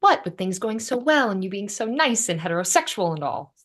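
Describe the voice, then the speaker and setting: Animated, bossy young woman, played by a woman. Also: medium pitch, a little fast. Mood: wry, flustered. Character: bossy, caring, wry young city woman. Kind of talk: sitcom table read.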